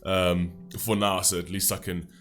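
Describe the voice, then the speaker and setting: A man's voice: low voice, little pitch movement, moderate pace, a bit bright, soft background music. A man with a stuffy nose from a cold, talking loosely in a casual reaction commentary with many fillers. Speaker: music reaction host.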